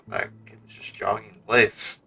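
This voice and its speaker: A man's voice: unhurried, sharp tone. A man streaming a video game with casual, joking commentary. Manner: joking, reads dialogue aloud.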